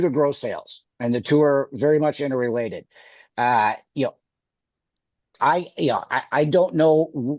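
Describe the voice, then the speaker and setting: A man's voice: mid-range voice, darkish tone, dry recording. Wisconsin guy on a zoom call, middle-aged, talks in loops and restarts, dry close sound. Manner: rambling, many restarts. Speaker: sales executive. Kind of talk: video call talk to sales team. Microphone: video call.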